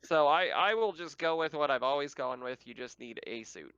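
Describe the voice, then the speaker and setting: Gravelly man on slightly muffled audio. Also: a little high, expressive, moderate pace, a bit bright, no echo. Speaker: game master. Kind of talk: casual game discussion.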